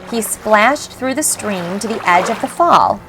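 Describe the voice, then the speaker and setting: A woman reading a rhyming picture book aloud for children in an expressive, measured storytelling style. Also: medium pitch, low-quality recording. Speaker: storybook reader. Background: faint background music.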